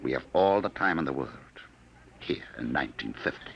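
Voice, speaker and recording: An actor in a 1950 radio drama playing a cold, patient, menacing male agent from the future who talks smoothly and quietly threatens. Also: rumbling low, expressive, a little fast, slight background noise, full character voice. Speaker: Sims, time-travel enforcer.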